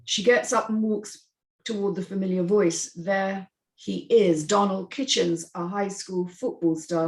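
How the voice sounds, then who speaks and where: A woman's voice: on the low side, a little mellow, roomy sound, rough recording. A woman narrator reading screenplay stage directions in a scripted style.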